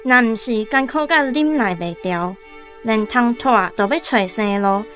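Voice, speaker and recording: Outspoken village gossip, Taiwanese accent, high bright voice, animated, radio play. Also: female voice, a little slow, soft background music. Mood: sympathetic. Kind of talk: radio drama acting.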